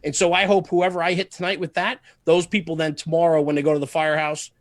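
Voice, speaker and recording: A man with a slightly higher-than-average voice talks casually as an interview guest. He is earnest and emphatic, often repeating phrases for stress. The sound is fairly dry and close. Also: flat delivery, fast, no room sound. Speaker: podcast guest, fire service media host. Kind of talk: casual podcast interview, passionate monologue.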